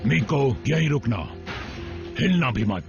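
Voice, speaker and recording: A man's voice: medium pitch, sing-song, takes it slowly. A man dubbing a big, good-natured robot warrior in a cartoon, easygoing and protective. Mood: firm. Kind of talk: Hindi cartoon dub acting. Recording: dull audio, music behind.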